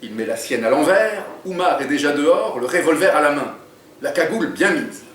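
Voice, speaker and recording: A man's voice: fairly high pitch, animated, normal speed, fairly bright tone. A man, an author, talking in an interview and reading from his book, thoughtful and a little hesitant. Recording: roomy echo, distant mic.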